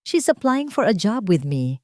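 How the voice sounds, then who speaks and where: A woman storyteller voices a well-off Filipino businessman and father in a drama. He is formal and polite but uneasy, with a Filipino accent. The pitch is raised a little above plain narration, and the sound is dry. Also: big pitch swings, a little slow, warm tone, no room sound. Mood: polite, anxious. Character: worried wealthy businessman father, politely probing.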